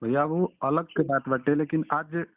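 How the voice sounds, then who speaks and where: A man with a Bhojpuri accent talks over a narrow, muffled phone line. His voice sits a little high, and he explains himself informally but deferentially to the other caller. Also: steady tone, moderate pace, dry recording. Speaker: songwriter or singer. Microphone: phone call. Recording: muffled recorded phone call.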